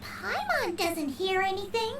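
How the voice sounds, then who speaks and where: A woman voicing a tiny, excitable, childlike fairy companion in a video game, with a squeaky voice. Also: measured pace, reverberant, far from the mic, very noisy, heavily acted. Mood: curious.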